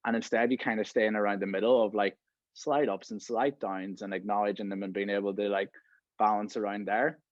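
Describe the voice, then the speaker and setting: A man in his thirties talks through a podcast conversation in a relaxed, rambling way, tossing in "right?" and "you know" as he goes. His voice sits on the low side. The recording is dry and somewhat muffled. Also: a little fast, no echo. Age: 30s. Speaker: podcast host. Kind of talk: casual podcast chat.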